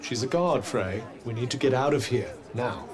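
An actor playing a dry, wry male companion in a video game, speaking in a deadpan manner. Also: medium pitch, moderate pace, crowd noise, lightly acted. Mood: urgent, low. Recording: music behind the voice.

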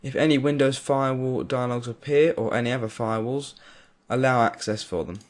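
A man giving a clear step-by-step voice-over for a software tutorial. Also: mid-range voice, steady tone, fairly quick. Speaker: tutorial creator. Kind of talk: screen-recorded software tutorial.

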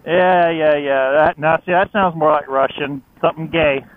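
A high-pitched man trades sarcastic jabs with a buddy in loose, sweary banter. The sound is thin and phone-like, through a helmet intercom with some road noise behind it. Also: fairly even intonation, normal speed. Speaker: motorcycle rider co-hosting a vlog. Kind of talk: casual joking banter between friends. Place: outdoors riding a motorcycle. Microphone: helmet headset.

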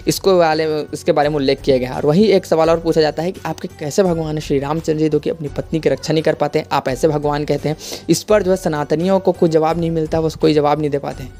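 A man's voice: on the high side, fairly even intonation, fast, hardly any pauses, mellow. An Indian man hosting a religious explainer voice-over in an earnest, didactic, repetitive manner. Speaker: YouTube explainer host. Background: music.

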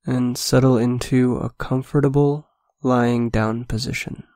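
A man leading a guided meditation, speaking slowly and softly with long pauses between phrases. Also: mid-range voice, steady tone, dry recording, studio quality. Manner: slow, soft-spoken, with long pauses.

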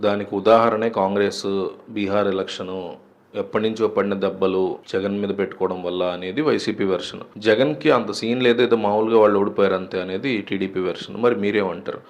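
Fast-talking man presenting political news. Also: lowish voice, steady tone, lo-fi sound. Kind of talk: scripted political news commentary.

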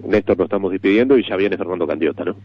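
A low-voiced Uruguayan man interviews casually on the radio, talking fast. The sound is dry and a little dull, like an AM stream. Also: breathy edge. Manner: echoes the guest's words.

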